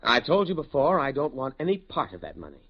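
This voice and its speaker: A man's voice: medium pitch, wildly swooping pitch, fast talker. A man playing an anxious, principled academic in a 1950s radio drama, hesitant and stammering over his words. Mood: indignant. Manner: agitated, hesitant, stammering repeats. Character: anxious, principled male academic trapped by blackmail. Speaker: radio actor. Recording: dull vintage radio recording.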